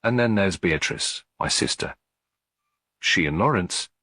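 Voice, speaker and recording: A man's voice: sits low, animated, a little slow, bright, forceful tone, dry recording, full character voice. A man voicing a tired, strained middle-aged widow in an audiobook, cool, guarded and composed. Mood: tense, defensive. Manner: clear but weary, composed. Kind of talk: audiobook character dialogue.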